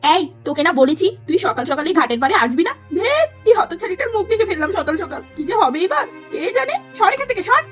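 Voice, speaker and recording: A woman voicing a spiteful, superstitious village neighbour in a cartoon, shrill and scolding. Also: sing-song, fast talker, hardly any pauses, soft background music. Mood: disgusted.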